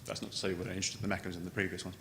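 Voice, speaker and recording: A man giving an unscripted technical conference presentation as a scientist, halting and often repeating words as he starts a phrase. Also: flat delivery, creaky, fairly bright tone. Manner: halting, with stammered word repetitions. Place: large conference room. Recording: echoey hall, noticeable background noise, low rumble.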